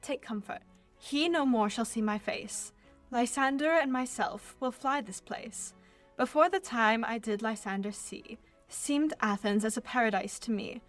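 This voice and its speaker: A woman's voice: on the high side, soft background music, close to an everyday voice. A young woman playing a devoted young Athenian lady in love, reciting Shakespearean verse earnestly in a radio play. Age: young adult. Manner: earnest, measured verse delivery.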